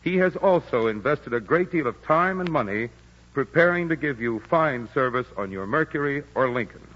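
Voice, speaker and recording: Man, 1940s radio commercial announcer, measured and reassuring, long reverb, muffled broadcast. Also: mid-range voice, expressive, a bit bright.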